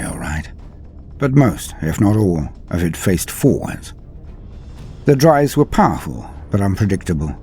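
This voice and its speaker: A man reading dramatic scripted war fiction as a storyteller, in a grave, measured delivery with deliberate pauses and falling phrase endings. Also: low voice, mellow. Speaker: narrator of a fan-written war story. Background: music under the voice.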